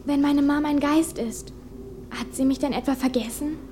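Casting: woman as sensitive teen girl for audio drama, high youthful voice, earnest and expressive. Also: normal speed, slight background noise, close to an everyday voice. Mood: wistful. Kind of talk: German radio play acting.

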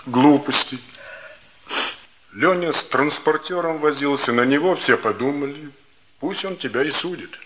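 A man's voice: a little high, measured pace, fairly bright tone. An actor playing a stern, blunt but fair male teacher, admonishing emphatically in a 1960s Soviet radio play. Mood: firm, dismissive. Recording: dull, slightly noisy old radio recording.

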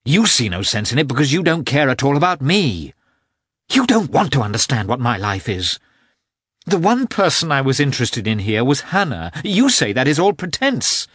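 A man's voice: medium pitch, sing-song, normal speed, a bit bright, slightly rough, dry recording, studio quality, voice pushed up. A man voicing a proud, jealous noblewoman in an emotional, accusing manner, as a dramatic audiobook reading.

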